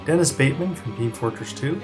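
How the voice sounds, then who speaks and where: A man's voice: mid-range voice, expressive, fairly quick. Man narrating a scripted promo over music. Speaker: writer-director presenting his project. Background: soft music.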